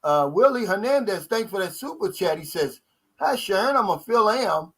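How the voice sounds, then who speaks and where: Relaxed, folksy middle-aged man host. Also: sits high, normal speed, breathy edge. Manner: relaxed, folksy, reads viewer super chats aloud. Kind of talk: casual live-stream chat.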